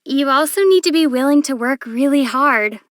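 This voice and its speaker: Game heroine: young traveler, high-pitched, measured and patient with a gently dry wit. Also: female voice, dry recording. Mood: instructive. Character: young female adventurer, patient, thoughtful, dryly amused. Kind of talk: video game character voice acting.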